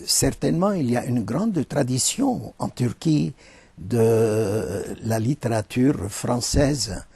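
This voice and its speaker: Older man, Arabic, very husky and dark, formal interviewee who pauses to find words. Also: medium pitch, expressive, no echo. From Lebanon. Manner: deliberate, formal, with frequent hesitations. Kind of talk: measured interview answers.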